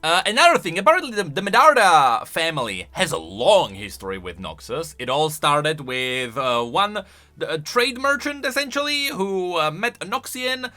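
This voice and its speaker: A man's voice: a little high, big pitch swings, moderate pace, pushed and bright, faint music behind. A man giving casual video commentary on game lore as a YouTuber, rambling along and stretching words for emphasis.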